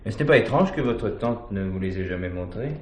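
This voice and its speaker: Over a noisy old recording with birdsong, an actor plays a persistent male police commissioner. His questions are courteous yet insistent, with lively swings in intonation, in the style of 1970s radio drama. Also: fairly low pitch, brisk, natural voice. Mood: probing.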